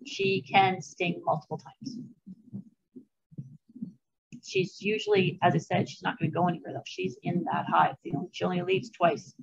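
A woman's voice: on the low side, sing-song, moderate pace, creaky, long pauses, breathy edge. An older woman beekeeper giving a chatty, anecdotal presentation over slides on a video call, with frequent fillers and asides. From Canada. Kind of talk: casual informal talk over slides. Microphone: video call webcam. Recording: dull lo-fi video call audio.

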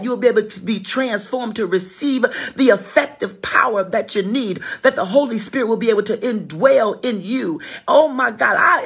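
Husky-voiced preacher in a fervent sermon. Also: mid-range voice, fairly bright tone. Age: middle-aged or older. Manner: punctuates speech with repeated devout exclamations and calls to listeners; emphatic, repeats phrases for effect.

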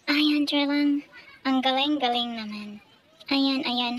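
A woman's voice: high-pitched, fairly even intonation, slow, poor audio. A Filipino woman giving a chatty, enthusiastic, casual product unboxing as a vlogger. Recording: home recording with background noise.

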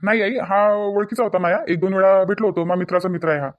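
A man plays a naive young cartoon son who keeps explaining himself with a whiny defensiveness. His voice is rather high and very fast, with a Varhadi Marathi accent. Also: steady tone, highs cut off, close to an everyday voice. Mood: defensive.

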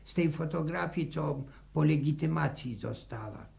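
A man's voice: a little high, normal speed, darkish tone. An actor playing a talkative, cautious old grandfather with a rural Polish accent, hesitant and repetitive, in a 1960 radio serial. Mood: earnest, reminiscing. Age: older. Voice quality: creaky elderly voice. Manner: hesitant, stammering and repeating words.

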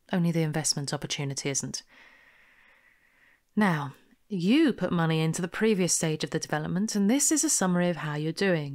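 A woman playing a smooth, bossy con artist with a brisk, persuasive manner in an audio drama. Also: low-pitched. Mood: brisk, impatient. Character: smooth, bossy female con artist posing as investment promoter.

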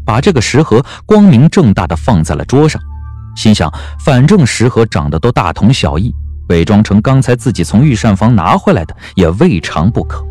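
Low, expressive male narrator. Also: moderate pace. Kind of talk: dramatic scripted audiobook narration. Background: background music.

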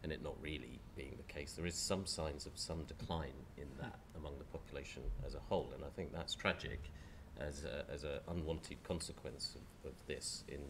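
A man with a cold, chairing a panel discussion in a lecture hall, speaking in a croaky, hesitant, measured way. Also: extremely low pitch, background noise.

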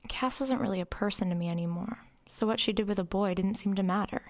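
A woman's voice: medium pitch, fast, close to an everyday voice. A woman playing a brooding, eerily detached teenage girl who narrates her own story in a radio horror drama. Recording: music under the voice.

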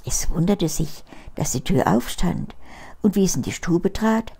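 An elderly woman, reading a fairy tale aloud as an expressive grandmotherly storyteller. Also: on the low side, unhurried, gravelly, breathy edge. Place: small book-filled living room. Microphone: lapel mic.

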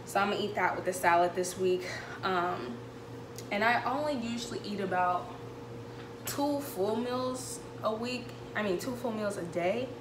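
A young woman talks casually at a medium pitch, like a student vlogger showing things to the camera. Her delivery is informal and conversational. The small kitchen rings with echo around her, and there is clear background noise. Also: measured pace. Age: young adult. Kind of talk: casual vlog chat, showing groceries. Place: small home kitchen, indoors. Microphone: camera a couple of metres away. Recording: echoey room with background noise.

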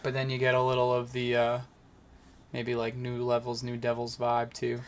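A man's voice: mid-range voice, completely flat delivery, moderate pace. A young man co-hosting a casual music reaction chat from a small home studio. He rambles loosely, leans on "like" and trails off while hedging his opinions. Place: small dim home studio room, indoors. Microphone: desk microphone, wearing headphones.

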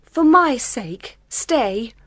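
A strong-willed young woman in a storybook, played by a woman narrator. Her voice is high and very animated, earnest and emphatic, full of feeling in a dry, close recording. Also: a little slow, no room sound. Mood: pleading. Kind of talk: dramatised audiobook character voice.

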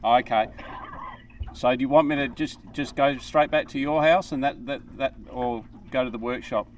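A young Australian man in a relaxed, friendly casual chat, recorded outdoors on a small river boat by an action camera. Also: medium pitch, moderate pace. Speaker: fishing vlogger. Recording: distant mic, outdoor noise.

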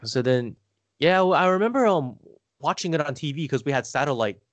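Man chatting, halting and close-miked. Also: fairly high pitch, expressive, no room sound. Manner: halting, rambling, peppered with "like". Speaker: podcast guest. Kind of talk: casual conversational storytelling.

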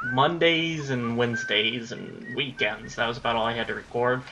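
A man's voice: mid-range voice, sharp tone, highs cut off, a little hiss or hum. A young man in his late teens rambling casually about his recording plans while playing a game, swearing when things go wrong. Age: young adult. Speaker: gaming YouTuber. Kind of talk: casual commentary over gameplay.

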